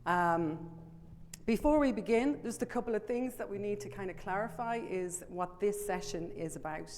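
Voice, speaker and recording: A woman's voice: medium pitch, normal speed, darkish tone. Dublin Irish woman presenting in a hall. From Ireland. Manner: chatty and self-deprecating, many ums. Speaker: conference presenter. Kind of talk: live conference talk. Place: large conference room. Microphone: podium microphone. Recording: long hall reverb, faint room noise.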